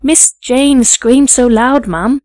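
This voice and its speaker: Woman voicing an urgent, concerned nursemaid, raised pitch. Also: slow pace, darkish tone, no room sound, studio quality. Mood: pleading. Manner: urgent questioning.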